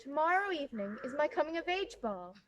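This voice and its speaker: A woman's voice: high voice, sing-song, fast, fairly bright tone, a little hiss or hum. A woman acting a privileged seventeen-year-old noblewoman in a historical monologue, with prim, formal, old-fashioned phrasing, wistful and playful. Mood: excited, proud.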